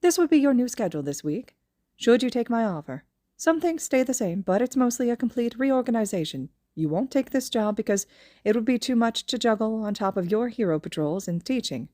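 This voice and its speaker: A small, cunning animal principal played by a woman in an audio story, pitched up and chipper. He speaks in formal, wordy sentences with cheerful smugness and a sadistic streak. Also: animated, a little fast, dark tone, no room sound, studio quality, lightly acted. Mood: smug, brisk. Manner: formal, verbose, cheerfully smug. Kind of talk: audio fan fiction character voice.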